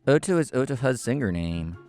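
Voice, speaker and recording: A man narrating a scripted story in the first person, in a measured, explanatory manner. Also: mid-range voice, very animated, darkish tone, soft background music. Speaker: narrator and main character of a manga video.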